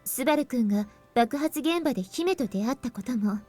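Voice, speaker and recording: A woman's voice: sits high, lively intonation, rapid-fire, mellow, slightly rough, soft background music. A woman voicing a composed, polite teenage schoolgirl in a visual novel, in an anime acting style. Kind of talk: Japanese anime game voice acting.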